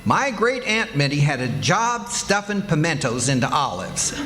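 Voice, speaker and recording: A high, animated male voice from an actor as a blustery husband in old-time radio comedy. He is folksy and boastful, wisecracking his way through excuses, with some background noise. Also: normal speed. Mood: folksy bluster. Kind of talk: 1940s radio comedy acting. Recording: live stage recording with background noise.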